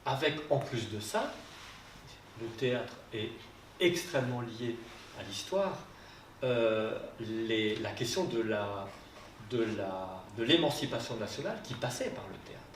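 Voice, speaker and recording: A man's voice: medium pitch, animated, unhurried, slight background noise. Man, panel speaker, hesitant with fillers, distant mic, echoey theatre hall. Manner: hesitant, many fillers and restarts. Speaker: translator. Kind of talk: panel discussion.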